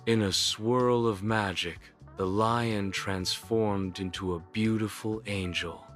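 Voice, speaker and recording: A man's voice: lowish voice, steady tone, slow, fairly bright tone, soft background music. A man narrating a scripted children's bedtime story in a solemn, formal storytelling manner.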